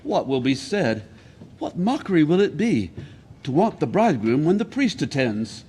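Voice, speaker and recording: A man's voice: fairly high pitch, big pitch swings, normal speed, warm tone, breathy edge, exaggerated voice. An actor playing an anxious father of the bride in a classic comedy audio play, speaking with formal theatrical delivery. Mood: worried.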